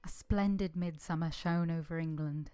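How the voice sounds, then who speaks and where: Casting: a woman playing devoted young servant heroine, slightly low voice, earnest and composed, close dry sound, vintage radio drama. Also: fairly even intonation, dark tone, clear, resonant tone, no echo, soft background music, close to an everyday voice. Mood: solemn narrating. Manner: earnest, deferential, measured. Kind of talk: old-time radio drama acting and narration.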